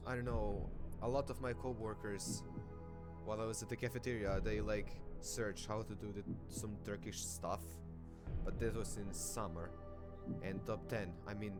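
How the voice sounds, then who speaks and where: A non-native English-speaking man on a quiz show, thinking aloud in a hesitant, rambling way with many fillers. His voice sits fairly low. Loud game music runs under his voice throughout. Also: fairly even intonation, normal speed. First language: not English. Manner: hesitant, rambling, full of fillers and restarts, laughs often. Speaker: quiz contestant.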